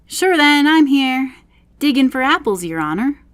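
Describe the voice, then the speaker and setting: A woman's voice: sits high, big pitch swings, unhurried, a bit bright, no room sound, voice pushed up, heavily acted. A woman voicing a humble, reluctant male animal servant in a storybook, folksy and deferential as he protests.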